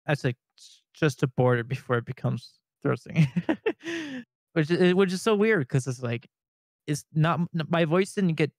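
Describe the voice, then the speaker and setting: A young man from Canada chatting as a podcast co-host, rambling with many restarts and nervous laughs, with a fairly high-sounding voice. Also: sing-song, normal speed, no echo, studio quality. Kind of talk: casual podcast chat.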